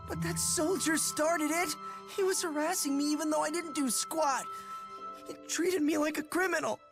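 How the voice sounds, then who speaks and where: Scrappy boy, high voice, hot-headed, contrite, game dub, music clearly behind. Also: moderate pace. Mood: defensive. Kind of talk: English video game dub acting.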